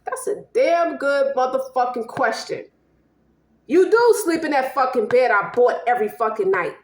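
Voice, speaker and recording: A woman's voice: high voice, expressive, normal speed. Suspicious, blunt American boyfriend, played by a woman. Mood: accusing. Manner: blunt, accusing, profane. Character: proud, suspicious ex-Marine boyfriend. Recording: echoey room.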